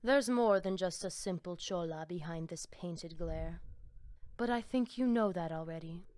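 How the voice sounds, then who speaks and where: A fanatical female vampire cultist from a video game, acted out by a woman. Her voice sits fairly low for a woman. She speaks with solemn grandeur and cryptic pride. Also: unhurried, darkish tone, no echo, close to an everyday voice. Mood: mystical, proud. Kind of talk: video game character dialogue.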